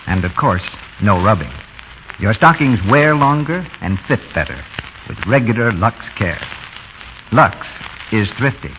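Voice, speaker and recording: Hiss and muffling mark this old radio broadcast. A man announces in a lowish voice with very lively intonation. His delivery is polished, scripted and salesmanlike. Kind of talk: scripted 1930s radio advertising. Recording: dull, noisy 1930s radio.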